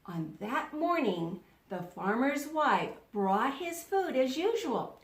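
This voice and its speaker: A woman teaching young children in a read-aloud lesson, speaking deliberately and instructively, in the manner of an elementary school teacher. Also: high voice, very animated. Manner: deliberate, instructional, repeats words for pupils to echo. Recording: roomy, echoing sound.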